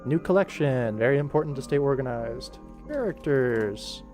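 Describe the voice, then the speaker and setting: A man's voice: medium pitch, lively intonation, normal speed, a little mellow. A young adult man chatting casually on a livestream while he works, rambling along in a jokey, self-deprecating way. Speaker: animator streaming his work. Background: background music.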